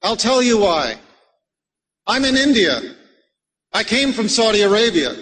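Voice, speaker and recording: A man raised in America giving an Islamic lecture to a live audience, measured and rhetorical, putting questions to the listeners. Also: high voice, sing-song, a bit bright. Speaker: Islamic preacher. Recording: long hall reverb.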